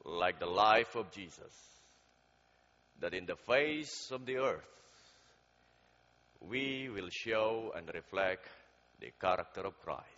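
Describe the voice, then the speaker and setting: A man preaching a sermon in a church, praying and telling a story in a slow, deliberate, halting way with fillers, growing louder as the story builds. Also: deep voice. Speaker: guest preacher. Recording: dull sound with long hall reverb.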